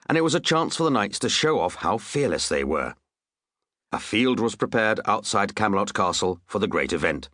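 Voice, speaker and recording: Guy reading a funny kids story, deepish voice, really up and down, dry little asides. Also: fairly bright tone, dry recording. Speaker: audiobook narrator. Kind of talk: scripted comic children's story narration.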